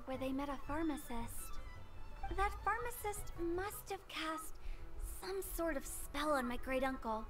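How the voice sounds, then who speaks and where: High-voiced quirky teenage girl. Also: far from the mic. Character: quirky teenage girl funeral director, talkative and suspicious. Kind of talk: video game voice acting.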